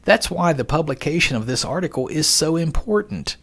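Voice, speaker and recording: A man's voice: fairly high pitch, animated, brisk, vocal fry, fairly bright tone. A man hosting a podcast, reading scripted narration in a folksy, conversational way with joking asides and rhetorical questions. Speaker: podcast host.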